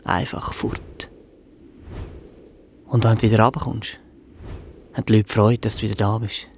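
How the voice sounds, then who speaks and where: An actor playing a brooding dreamer in a radio drama, murmuring a poetic inner monologue in short, half-whispered phrases. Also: male voice, fairly low pitch, little pitch movement, normal speed. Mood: wistful. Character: brooding man dreamily musing to himself about flight. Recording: clear ambient noise behind the voice.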